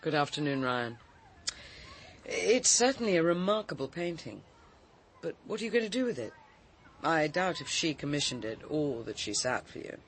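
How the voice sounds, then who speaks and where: A woman's voice: low-pitched, very animated, moderate pace, a little hiss or hum. An actress playing a poised, sociable countrywoman in a radio drama, speaking politely and articulately with slight hesitations in a British accent. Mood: tactful, frank. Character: poised, sociable, frank countrywoman, a writer and cook.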